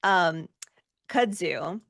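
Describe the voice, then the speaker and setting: A woman's voice: lowish voice, animated, extremely slow, creaky, a bit bright, no room sound, clean studio sound. A woman chatting casually as an interview guest, effusive and informal in the way she talks. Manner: effusive, informal, emphatic about loving the work. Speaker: guest, actor and former rock singer.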